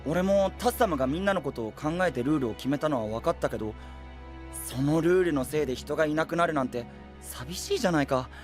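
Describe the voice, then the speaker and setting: Earnest, animated young knight, played by a man. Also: a little high, fast talker, faint music behind, natural voice. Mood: earnest, concerned. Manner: guileless, open, casual. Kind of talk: anime-style voice drama acting.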